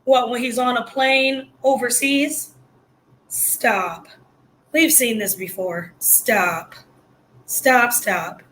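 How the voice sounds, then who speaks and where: Woman, high-pitched, hoarse, casual livestream commentary, slangy, blunt, noisy background. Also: drawn-out words, a bit bright. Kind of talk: casual live reaction commentary.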